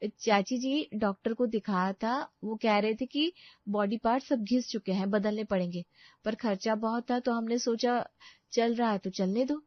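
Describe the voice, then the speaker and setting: A woman's voice: fairly high pitch, normal speed, no room sound, lo-fi sound, lightly acted. A woman playing a composed, practical young daughter-in-law who speaks politely to elders in a Hindi cartoon story. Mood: explaining.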